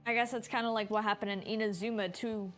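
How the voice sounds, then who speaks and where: A woman's voice: medium pitch, steady tone, fast talker, fairly bright tone. A woman game streamer giving informal live commentary, often mimicking what the characters are thinking. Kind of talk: casual live gameplay commentary. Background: game music.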